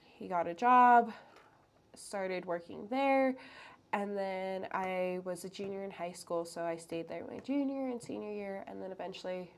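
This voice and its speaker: A woman's voice: mid-range voice, unhurried. A young woman from Hawaii, a college student who works as a peer advisor, chatting in an upbeat, friendly way. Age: young adult. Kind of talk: casual chat.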